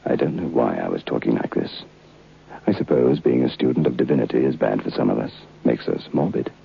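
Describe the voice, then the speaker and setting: An actor playing a haunted, curious former student who narrates a vintage radio horror tale in a deliberate, literary, brooding way. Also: male voice, a bass voice, lively intonation, gravelly, breathy edge, exaggerated voice. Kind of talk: dramatic first-person horror narration. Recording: muffled, noisy old radio recording.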